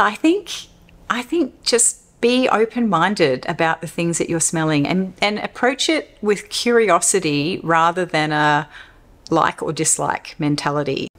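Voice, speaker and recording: A woman's voice: deep voice, a bit bright. A middle-aged Australian woman talking casually to camera in a Q&A vlog, rambling and restarting her sentences as she goes. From Western Australia. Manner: rambling, chatty, self-interrupting with restarts. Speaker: perfume YouTuber.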